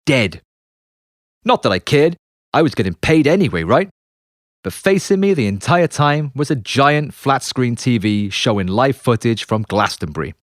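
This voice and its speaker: A man reading his own memoir as an audiobook, in an expressive, confessional storytelling manner with dramatic pauses. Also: mid-range voice, no echo, clean studio sound. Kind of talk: scripted memoir narration. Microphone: close, dry studio narration mic.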